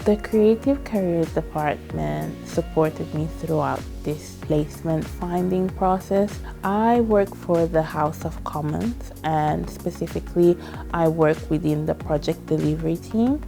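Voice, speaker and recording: A young woman born in Italy speaks in a very low, gravelly voice, composed and formal. A clear music bed runs under her words. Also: a little slow. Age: young adult. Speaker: student. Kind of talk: interview testimonial.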